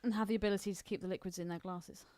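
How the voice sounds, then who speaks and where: A woman's voice: mid-range voice, steady tone, very fast, a little mellow, no echo. A woman co-hosting a casual podcast, chipping in with short, wry, teasing asides. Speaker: podcast co-host. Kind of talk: casual chat, short interjections.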